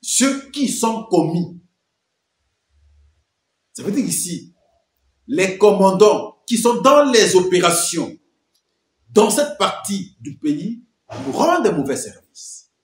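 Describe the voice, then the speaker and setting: A man's voice: high voice, very animated, takes it slowly, long pauses, reverberant. A man giving emphatic political commentary, full of rhetorical questions and repeated phrases.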